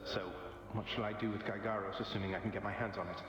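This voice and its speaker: A man's voice: on the low side, little pitch movement, fast, noisy background, close to an everyday voice. A man playing a cocky young underworld prince in a video game, with a dry, sardonic, casually eloquent delivery. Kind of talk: video game drama acting. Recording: echoey hall with long reverb.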